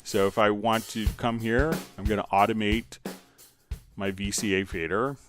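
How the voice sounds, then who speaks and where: A man hosting a live software tutorial, explaining step by step and informally thinking aloud as he demonstrates. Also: lowish voice, steady tone, normal speed, soft background music. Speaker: software instructor and livestream host.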